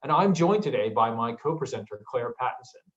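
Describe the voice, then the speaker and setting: A man with an American accent presenting an online business workshop, pausing often with ums and asking the audience questions. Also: medium pitch, expressive, muffled recording. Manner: hesitant with frequent ums, asks the audience questions. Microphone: video call.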